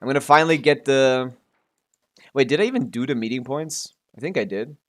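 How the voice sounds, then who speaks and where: A man's voice: medium pitch, brisk. A man streaming a game with casual, rambling, self-questioning commentary. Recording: stream with game music behind.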